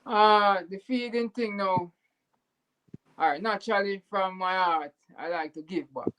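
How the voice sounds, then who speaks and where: A man's voice: sits high, measured pace, fairly bright tone, rough recording. A Jamaican man speaking in an earnest, heartfelt way as a guest in a casual chat, sometimes repeating words. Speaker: YouTuber guest.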